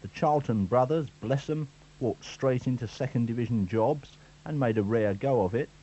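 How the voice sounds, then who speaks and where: A man's voice: mid-range voice, normal speed, warm tone, slightly rough. A male sports reporter reading a scripted year-in-review with a dry, wry delivery. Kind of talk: scripted narration. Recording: dull lo-fi radio recording.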